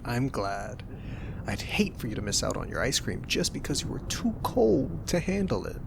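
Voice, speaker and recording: A young man with a lowish voice talks softly and gently, teasing in a playful way. It is an intimate ASMR roleplay delivery. A steady hiss runs under the recording. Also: creaky. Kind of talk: soft-spoken ASMR roleplay.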